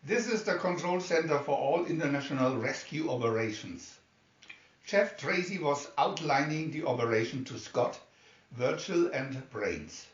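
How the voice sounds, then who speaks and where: A man's voice: on the high side, animated, a little slow. A man reading a scripted adventure story aloud as a dramatic narrator. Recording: muffled, echoey room, distant mic.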